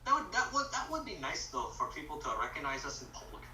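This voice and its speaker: A young man in casual, halting chat as a guest on a friend's voice-call live stream. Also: on the high side, sing-song, sharp tone, a little hiss or hum. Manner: rambling, halting, restarts phrases. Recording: echoey room, far from the mic.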